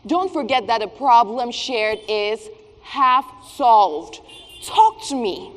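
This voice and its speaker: A woman's voice: fairly high pitch, animated, takes it slowly. A woman playing a well-meaning, optimistic customer in a stage play, earnest and concerned. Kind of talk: theatrical stage play acting. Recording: echoey stage hall.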